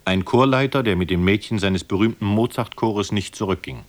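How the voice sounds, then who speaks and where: Low-voiced man narrating a radio documentary. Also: a little fast.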